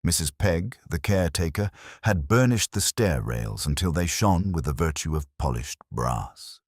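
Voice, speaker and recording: A man narrating a mystery story in a measured, wryly understated way. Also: a bass voice, very animated, no echo, clean studio sound. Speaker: audiobook narrator.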